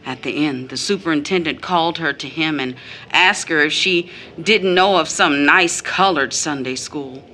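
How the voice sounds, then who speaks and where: A woman's voice: low voice, moderate pace, bright, forceful tone, a little hiss or hum. A woman playing a bitter, disillusioned mother in an audio drama, emphatic and grave. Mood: bitter, defiant. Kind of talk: audio drama stage-style acting.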